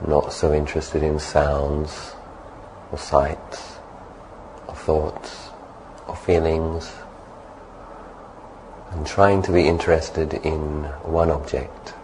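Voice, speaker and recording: A man calmly leading a guided meditation as a monk, giving gentle, unhurried instructions. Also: rumbling low, slight background noise, poor audio.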